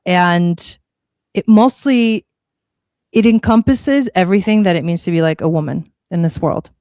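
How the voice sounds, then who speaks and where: A woman's voice: lowish voice, unhurried, mellow, no room sound, studio quality. A woman from Argentina who grew up in California, speaking as a guest teacher, explaining things in a chatty, casual way and often rephrasing. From born in Argentina, grew up in California. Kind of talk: casual explanatory interview talk.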